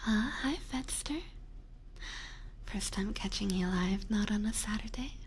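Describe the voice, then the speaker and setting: A woman livestreaming ASMR, chatting gently to her viewers in a soft, breathy whisper close to a binaural microphone. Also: mid-range voice, unhurried, a little hiss or hum, low-quality recording. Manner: gentle, chatty, giggly, addressing chat.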